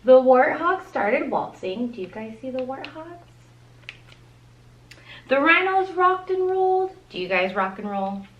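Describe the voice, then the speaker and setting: A woman reads a storybook to young children in a high, very animated voice. Her delivery is expressive and sing-song, and she speaks to the listeners directly. The sound is roomy and echoing. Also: a little slow, dull audio. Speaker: dance school teacher reading to children. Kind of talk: children's picture-book read-aloud with asides. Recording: roomy echo, distant microphone.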